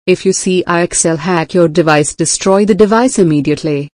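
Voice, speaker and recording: A woman's voice: lowish voice, a little fast, a little mellow, dry recording, studio quality. A woman's synthetic-sounding voice reading a formal scripted emergency broadcast announcement.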